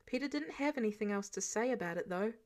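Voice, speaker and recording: A woman's voice: a little high, lively intonation, fast talker, a little mellow. A woman reading scripted fiction aloud as a narrator. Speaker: podfic narrator.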